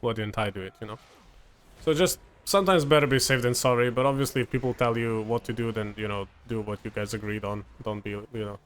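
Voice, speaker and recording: A young man from Serbia, whose first language is Serbian, giving relaxed, chatty gameplay commentary full of fillers and restarts. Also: medium pitch, fast, a little hiss or hum.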